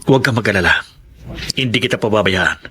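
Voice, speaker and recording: A philandering husband in a radio drama. He is smooth, evasive and quick to temper, with a forceful bright tone. There is faint noise in the recording. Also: lowish voice, lively intonation. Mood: evasive, reassuring. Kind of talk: Tagalog radio drama acting.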